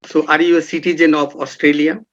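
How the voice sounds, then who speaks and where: Male interviewer with a formal manner and a higher voice; dull, lo-fi recording. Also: little pitch movement, a little fast, a bit bright. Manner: formal, repeats acknowledgements like "yes" and "right". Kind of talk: podcast interview questions. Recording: dull lo-fi sound.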